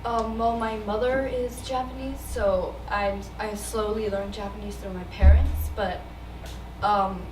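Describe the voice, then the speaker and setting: A woman's voice: medium pitch, steady tone, measured pace. Modest teenage girl, distant and echoey. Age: late teens. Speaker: high school student panelist. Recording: echoey hall, distant mic, background noise.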